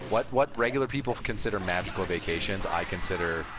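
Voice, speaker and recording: Low-pitched man, casual rambling gaming chat, loud game music behind. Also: animated, fast. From Canada. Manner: rambling, with many restarts and fillers. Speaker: podcast co-host. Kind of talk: casual chat while playing a video game.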